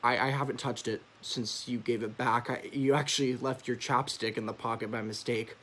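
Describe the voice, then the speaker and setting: An actor playing a sentimental, grieving teenage boyfriend, halting through a stage drama letter monologue. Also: male voice, medium pitch, steady tone, sharp tone. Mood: grieving, awkward. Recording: faint background noise.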